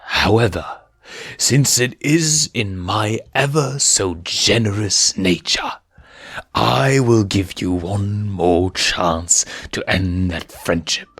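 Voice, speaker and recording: A man's voice: fairly low pitch, lively intonation, a bit bright, husky, exaggerated voice. A man voicing a menacing, contemptuous villain lion in a storybook, drawing out his theatrical threats. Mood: exasperated, scornful. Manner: slow, drawn-out, theatrical threats.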